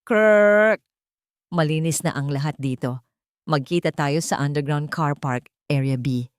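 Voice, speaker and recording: A woman's voice: low voice, measured pace, clean tone, no room sound, studio quality. A woman voicing a cold, scheming male villain who gives curt, commanding instructions in an audio drama. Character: cold male villain arranging a secret payoff.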